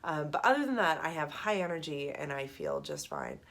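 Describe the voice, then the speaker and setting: A woman's voice: sits low, wildly swooping pitch, a little fast, a bit bright. A woman in her thirties with a head cold, chatting casually to camera from a small apartment studio. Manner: chatty, rambling, with many ums and little laughs. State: congested from a cold. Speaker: knitting podcast host.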